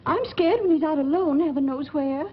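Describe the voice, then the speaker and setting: Fretful, emphatic mother, played by a woman, high voice, dull vintage movie soundtrack. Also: moderate pace, natural voice. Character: fretful, anxious, scolding mother of a mischievous boy. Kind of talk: 1940s film acting. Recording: dull, noisy old film soundtrack.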